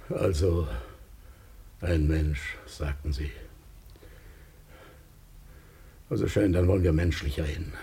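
An actor in a German radio play playing a suave, insistent interrogator who probes politely and with menace. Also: male voice, low-pitched, vocal fry, dark tone, slight background noise. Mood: weary, composed. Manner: politely menacing, probing, repeats questions.

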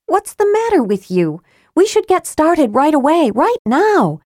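A woman voicing a feisty, impatient young female fighter who speaks up insistently and pitches her voice high. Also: no echo, studio quality. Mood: urgent. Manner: insistent, excited, high and quick to object.

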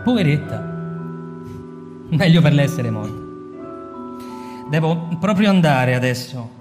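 An actor playing a cold, persuasive male fire captain lecturing a subordinate in a radio drama, smooth and insistent, sometimes hushed. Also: fairly high pitch, animated, measured pace, big-room sound, music in the background.

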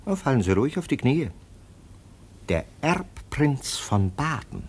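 An actor playing a contemptuous, bullying police lieutenant in an old German radio play, sarcastic and insinuating. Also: male voice, medium pitch, very animated, unhurried, a little hiss or hum. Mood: secretive, insinuating. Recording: slightly husky-sounding vintage 1950 radio production.

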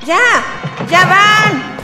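A flirty bossy chilean housewife in a radio drama, high voice, music behind. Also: female voice, animated, extremely slow, heavily acted. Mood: panicked, bossy. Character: flirtatious, bossy married woman with a jealous husband. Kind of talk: radio play acting.

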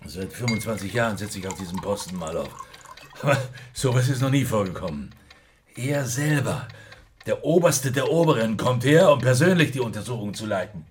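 An actor playing a gruff, middle-aged police superior, blunt and agitated, in a German radio crime drama. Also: male voice, fairly low pitch, expressive, brisk, a little hiss or hum, close to an everyday voice. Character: gruff, rattled male police superior who wants a drink.

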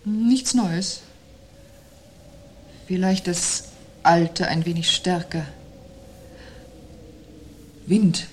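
An actress playing a sceptical, uneasy woman in a literary radio play, with a husky voice and a dry, probing, measured delivery. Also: lowish voice, darkish tone, slight background noise. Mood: pensive, wry. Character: sceptical, wry, uneasy woman waiting out looming doom.

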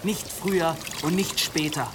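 A man's voice: a little high, steady tone, unhurried, noisy background, lightly acted. An actor playing a battered, bitterly resolute young man in an audio drama, dry and mocking. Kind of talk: German audio drama acting.

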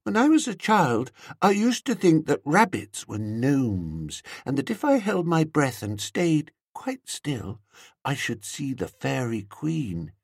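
A man voicing a dreamy, sentimental young woman in an audiobook, with a soft, breathy, sighing delivery. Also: mid-range voice, big pitch swings, takes it slowly, dry recording, studio quality, voice pushed up, exaggerated voice. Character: dreamy, sentimental, wistful young woman, whimsical and slow-witted. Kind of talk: audiobook character voice.

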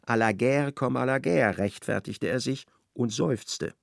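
A man narrating a literary radio play in an expressive, measured storytelling manner. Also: medium pitch, creaky, no room sound, clean studio sound.

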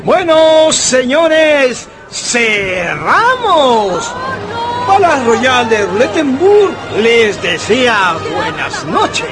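Slow, high-pitched showy casino croupier. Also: male voice, big pitch swings, over a noisy crowd, heavily acted. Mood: announcing. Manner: drawn-out, projected calls. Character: showy male casino croupier, courteous, calling bets. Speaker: voice actor. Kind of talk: theatrical radio drama.

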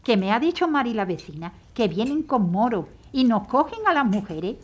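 A woman's voice: on the high side, normal speed. Woman playing a fretful peacemaking wife. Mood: anxious. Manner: pleading, lively. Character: anxious young wife, peacemaking.

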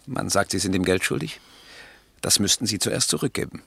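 A man's voice: low voice, fairly quick, vocal fry, sharp tone, husky, no room sound, exaggerated voice. A man playing a mercenary, easily offended campaign backer in a German radio play, blunt and insistent. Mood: indignant.

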